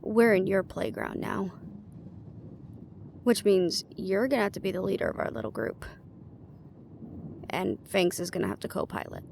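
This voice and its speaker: A woman's voice: mid-range voice, sing-song, moderate pace, vocal fry, pauses often, a little mellow, a little hiss or hum. A woman playing a guarded, weary young team leader in a fantasy audio roleplay, with a dry, casual delivery. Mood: wry, deflecting.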